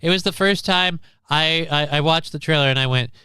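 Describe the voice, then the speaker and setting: A man's voice: on the high side, brisk, pushed and bright, clean tone, dry recording. A man hosting a livestream, giving casual, profane movie commentary in a blunt, sarcastic, ranting manner. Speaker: livestream host.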